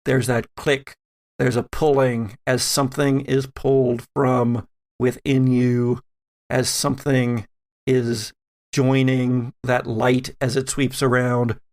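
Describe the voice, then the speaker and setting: A man working as game master, narrating a tabletop horror game in a measured way with dramatic pauses. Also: mid-range voice, level tone, darkish tone.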